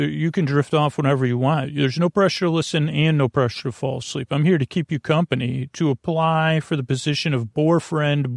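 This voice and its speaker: A man hosting a sleep podcast with a meandering, halting bedtime monologue, often losing his thread and circling back, in a creaky voice. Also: medium pitch, a little mellow, no room sound, clean studio sound. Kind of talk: rambling bedtime monologue to the listener.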